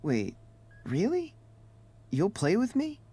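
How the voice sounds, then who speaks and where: A man's voice: high-pitched, wildly swooping pitch, takes it slowly, mellow, husky, full character voice. A man playing a shy, earnest young boy in a video game drama. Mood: hopeful. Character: shy, earnest young boy who loves fantasy games.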